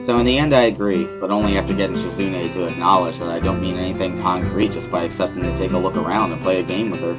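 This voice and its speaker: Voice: low-pitched, fairly even intonation, quick pace, hardly any pauses, loud music behind the voice, voice pushed down, lightly acted. A reader playing a wary teenage schoolboy who narrates his own story in a dry, mildly sardonic way, as a visual novel dramatic reading. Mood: reflective.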